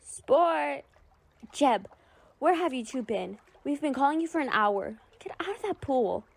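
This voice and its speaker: A woman playing an exasperated, brisk mother in a radio drama, with a bossy, folksy, scolding manner. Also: high voice, very animated, gravelly, heavily acted.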